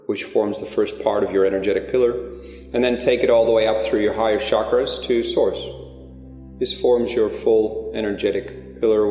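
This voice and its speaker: A man leading a spiritual guided meditation, speaking in slow, deliberate phrases with long pauses. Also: on the low side, a little mellow. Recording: echoey hall-like reverb under music. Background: music.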